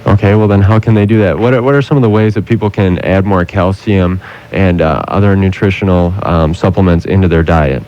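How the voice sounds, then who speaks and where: A man's voice: low-pitched, fairly even intonation, brisk, darkish tone. An American man hosting a public affairs radio interview, putting questions to a guest. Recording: dull lo-fi 1990s radio recording.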